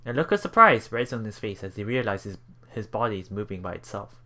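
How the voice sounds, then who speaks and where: A man hosting a let's play, reading visual novel text aloud haltingly, with casual asides. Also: on the low side, animated, sharp tone. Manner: halting, stumbles and repeats words while reading.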